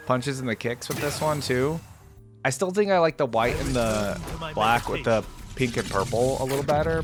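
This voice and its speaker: A man with a mid-range voice gives off-the-cuff commentary as a reaction host. He speaks informally, thinking aloud and exclaiming, with music clearly audible behind him. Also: mid-range voice. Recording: music and game audio behind voice.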